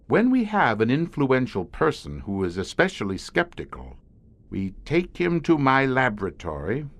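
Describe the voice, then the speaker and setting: An actor playing a grim, haunted police scientist who hunts monsters, telling it ornately and dramatically in an audio horror drama. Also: male voice, on the low side. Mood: wry. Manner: ornate, deliberate, dramatic storytelling with wry asides.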